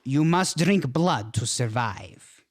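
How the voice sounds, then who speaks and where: A man's voice: mid-range voice, expressive, slow. An actor playing a courtly, easily flustered vampire father with formal, stilted phrasing in a campy horror comedy. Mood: persuasive, reassuring.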